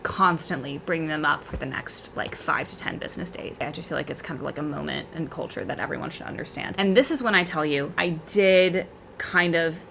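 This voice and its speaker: A woman's voice: low voice, fairly quick, gravelly, fairly bright tone, a little hiss or hum. A woman in her twenties with an American accent, chatting in a candid, rambling, self-deprecating way for a casual vlog. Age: 20s. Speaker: vlogger. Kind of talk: casual confessional vlog chat.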